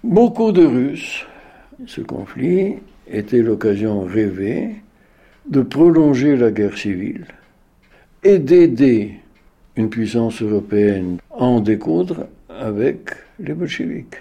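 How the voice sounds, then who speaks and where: A man in his eighties recalling wartime memories in a grave, deliberate way, sometimes searching for words. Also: mid-range voice, sing-song, warm tone, lo-fi sound. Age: 80s. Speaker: interviewee, witness. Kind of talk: slow, measured recollection.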